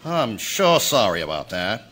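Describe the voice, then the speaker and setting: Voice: medium pitch, very animated, a little slow, a bit bright. A performer voicing a kindly, folksy male shopkeeper in a live radio mystery recreation. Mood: sympathetic. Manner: folksy rural speech.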